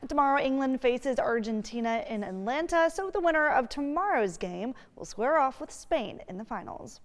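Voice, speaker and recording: A woman anchoring a local evening newscast with bright, upbeat scripted delivery. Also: high-pitched, expressive, moderate pace, in a large hall. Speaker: news anchor.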